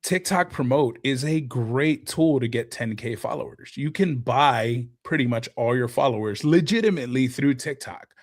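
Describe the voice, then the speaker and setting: A man who is a guest content creator, talking in excitable, quick bursts of explanation during a casual podcast chat. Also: mid-range voice.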